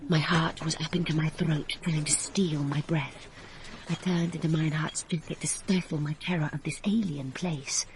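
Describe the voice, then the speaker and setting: A woman's voice: sits low, fairly even intonation, moderate pace, slight background noise. An actress playing a passionate young woman in love in a radio drama, intimate and emotional as she speaks and narrates her romance. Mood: reflective. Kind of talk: radio drama acting with first-person narration.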